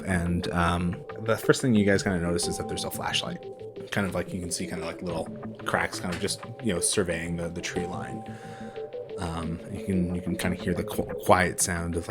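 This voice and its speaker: A man acting as game master, improvising horror tabletop narration in a halting, casual way full of filler words. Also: low voice. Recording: music behind the voice. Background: background music.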